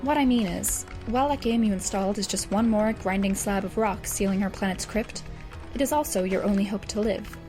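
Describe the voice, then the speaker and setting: A woman's voice: medium pitch, fairly quick, darkish tone, lightly acted. Woman as dry, erudite teenage girl, music behind. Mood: dry, grave. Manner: verbose, dry, sardonic. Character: wry, erudite teenage girl writing a doomsday game walkthrough. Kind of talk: scripted audio drama monologue.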